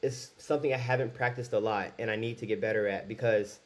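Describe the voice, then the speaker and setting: A man's voice: fairly low pitch, fairly even intonation, fast. A man in his twenties talking candidly to camera in his first video, rambling a little and restarting his sentences. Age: 20s. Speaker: YouTuber. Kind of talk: casual talk to camera.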